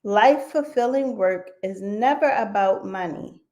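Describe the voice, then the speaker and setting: A woman hosting a live online radio interview, talking slowly with many fillers like "um". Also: medium pitch. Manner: slow, warm-hearted, with frequent fillers. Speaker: radio show host.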